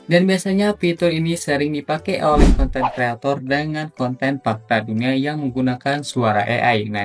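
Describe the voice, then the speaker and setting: Indonesian man hosting a chatty tutorial over music. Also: medium pitch. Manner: chatty, slangy, addresses viewers directly. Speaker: YouTube tutorial host. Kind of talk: casual scripted tutorial narration.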